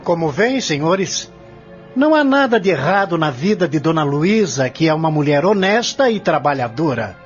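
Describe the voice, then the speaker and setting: A man's voice: a little high, big pitch swings, moderate pace. A man playing a confident, relentless lawyer in an audio drama, arguing in a formal, articulate, declamatory way. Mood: assured, explaining. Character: confident prosecuting lawyer, relentless, methodical, formal courtroom orator. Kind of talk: audio drama acting, courtroom scene. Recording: dull lo-fi audio with music underneath.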